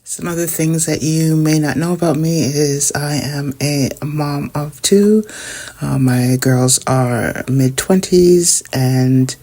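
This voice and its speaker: A woman's voice: low voice, mellow. Easygoing middle-aged woman vlogger. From Canada. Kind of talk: casual chat while decluttering. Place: at home.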